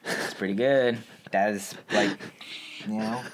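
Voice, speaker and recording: A man's voice: on the low side, steady tone, a little slow, a crowd in the background. A man chatting casually and opinionatedly as a podcast co-host, in slangy, informal speech. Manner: informal, slangy, explains by acting out imagined reactions.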